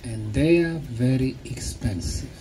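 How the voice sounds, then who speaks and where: A man, a native Bosnian speaker, giving a talk to a group in short, simple phrases with pauses for an interpreter. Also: mid-range voice, noisy background. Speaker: speaker, tour guide.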